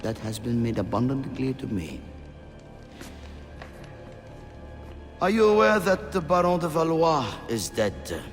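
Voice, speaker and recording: A man's voice: mid-range voice, sing-song, normal speed, lightly acted. An actor playing a powerful older father, a haughty and exasperated patriarch in a game cutscene, speaking with formal, biting indignation. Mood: guarded, dismissive. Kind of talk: dramatic video game cutscene acting. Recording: music under the dialogue.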